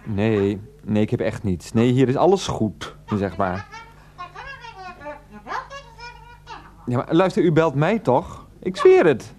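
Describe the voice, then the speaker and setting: Flustered, pleading middle-aged son. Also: male voice, medium pitch, wildly swooping pitch, a little slow. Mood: indignant, exasperated. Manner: flustered, repetitive, protesting, placating. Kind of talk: radio play acting.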